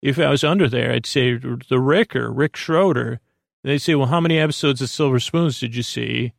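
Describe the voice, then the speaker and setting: Man, gravelly creak, dry close sound, rambling sleep-podcast host, halting, self-interrupting. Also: mid-range voice, dry recording.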